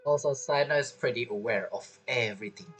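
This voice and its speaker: A man's voice: medium pitch, brisk, a bit bright. A man streaming a game, giving brief, offhand reactions in casual live commentary. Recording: game music behind the voice.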